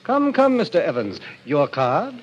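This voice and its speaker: Suave, sinister club president, swooping delivery. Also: male voice, fairly high pitch, sing-song, a little slow. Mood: coolly insistent. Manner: suave, formal, ceremonious, hypnotic. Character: polished, sinister gentleman president of a deadly card club. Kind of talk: 1940s radio acting. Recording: dull, noisy old radio recording.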